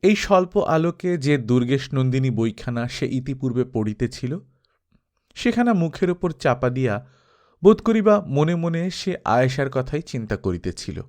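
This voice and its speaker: Medium-pitched man narrating a literary novel. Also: very animated, moderate pace, darkish tone. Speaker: audiobook narrator.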